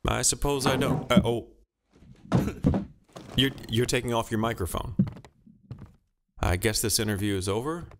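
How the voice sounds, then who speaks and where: A man's voice: mid-range voice, moderate pace, pauses often, heavy background noise. A man hosting a mock news interview, formal, polite and persistent in his questioning. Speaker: host and interviewer.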